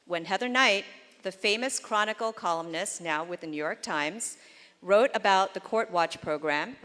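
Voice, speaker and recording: A woman's voice: mid-range voice, a bit bright, in a large hall. Woman reading an earnest tribute. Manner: measured, earnest reading with small restarts. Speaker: speaker giving a tribute. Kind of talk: read prepared remarks.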